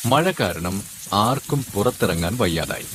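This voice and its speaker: A man narrating animated moral stories for children in a steady storytelling manner. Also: on the low side, measured pace, noisy background. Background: background music.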